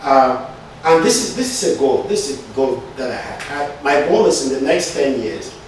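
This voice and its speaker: A middle-aged man from Cameroon, an IT consultant answering interview questions with many pauses and fillers, often tagging "right?" onto his points. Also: medium pitch, big pitch swings, slight background noise. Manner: hesitant, many fillers and restarts, frequent "right?". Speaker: IT consultant and entrepreneur. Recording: echoey room, voice from a distance.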